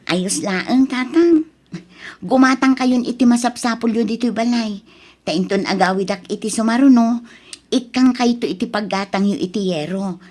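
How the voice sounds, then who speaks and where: Woman acting scheming young kept woman, Filipino accent, radio-style drama, raised pitch, husky, sugary and coaxing. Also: moderate pace, a little mellow, close to an everyday voice. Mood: coy. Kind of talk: audio drama dialogue.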